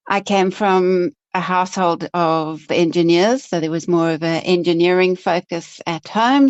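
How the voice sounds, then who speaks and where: A South African woman, an investment officer, answering interview questions in a relaxed, self-deprecating way and laughing easily. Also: lowish voice, no room sound, dull audio.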